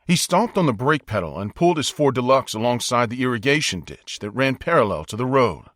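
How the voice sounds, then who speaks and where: An American man narrating a dramatic audiobook in a measured, suspenseful storytelling style. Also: medium pitch, lively intonation, a bit bright, no room sound, studio quality.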